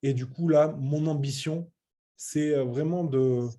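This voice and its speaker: Mellow-voiced man in his late thirties. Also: on the high side, fairly even intonation, a little slow. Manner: hesitant, repetitive, emphatic. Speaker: web entrepreneur presenting a training programme. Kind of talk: informal online business presentation.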